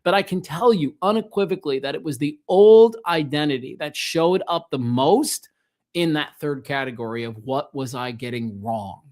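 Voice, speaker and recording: A man's voice: on the high side, moderate pace, a little mellow. A middle-aged man hosting a solo motivational podcast, speaking earnestly and emphatically, straight to the listener. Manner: earnest, emphatic, addresses listener directly with rhetorical questions. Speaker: podcast host and self-help coach.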